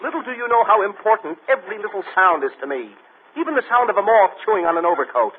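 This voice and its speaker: A man's voice: high voice, animated, quick pace. An actor playing a self-important, florid sound effects man in a 1940s radio comedy. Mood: pompous, dramatic. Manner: pompous, florid. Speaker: comic actor. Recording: noisy tinny old radio recording.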